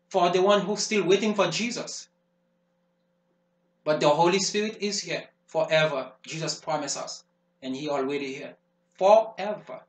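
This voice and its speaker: A man plays a fervent preacher, hammering his points in repeated phrases. His voice sits high for a man. The room rings with echo around him. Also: close to an everyday voice. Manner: emphatic, repetitive preaching cadence. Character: fervent male preacher warning of the devil. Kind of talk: sermon. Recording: roomy echo.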